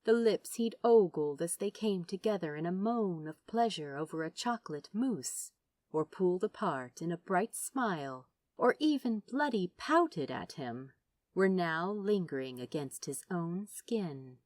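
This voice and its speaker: Casting: female audiobook narrator, lowish voice, expressive and theatrical, unhurried pacing for dramatic fiction. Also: no room sound. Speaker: podfic narrator.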